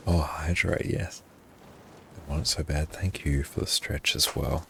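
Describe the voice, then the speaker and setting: A man livestreaming his gameplay in a hushed, half-whispered voice, often thanking his viewers. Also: extremely low pitch, steady tone, a little slow, gravelly, slight background noise.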